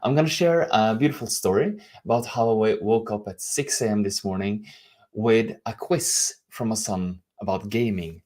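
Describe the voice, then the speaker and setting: A man's voice: on the low side, a little mellow. A man with a Norwegian accent, a native Norwegian speaker, talking casually to a livestream audience as a coach in an effusive, affectionate way. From Norway. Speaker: life and leadership coach hosting a live stream. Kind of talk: casual livestream talk to camera.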